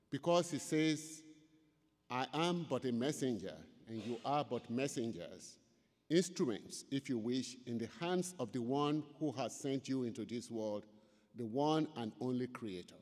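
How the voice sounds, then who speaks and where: An older man with a Yoruba accent, a native Yoruba speaker, giving a solemn, measured invocation at a lectern in a large auditorium. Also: mid-range voice, animated, darkish tone. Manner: solemn, measured, chanting in places. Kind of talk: ceremonial invocation and prayer. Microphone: lectern microphone. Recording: long hall reverb.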